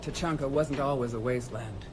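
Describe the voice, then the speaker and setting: A man's voice: mid-range voice, a little fast, noisy background, natural voice. Man as a resolute alien clan leader. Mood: reflective, grave. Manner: weighty, resolute, oratorical. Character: stern, noble, battle-worn male alien clan leader. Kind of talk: video game character acting.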